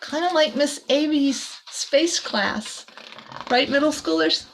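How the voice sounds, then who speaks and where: A woman reading a picture book aloud to children like a teacher, expressively, with soft chatty asides. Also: high voice, slow, slight background noise, rough recording.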